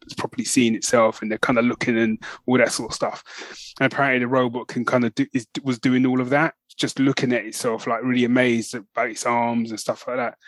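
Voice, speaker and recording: A man's voice: medium pitch, no room sound. A man, co-hosting a podcast with rambling, colloquial, casual chat about the news. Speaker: podcast co-host.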